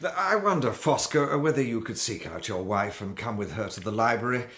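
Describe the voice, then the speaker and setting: A shifty baronet, rough-edged mid-range voice, lively delivery, stumbling politeness, period radio drama. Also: male voice, mid-range voice, fairly quick. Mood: uneasy, falsely casual. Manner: hesitant, stammering politeness. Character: uneasy, falsely casual English baronet, evasive gentleman.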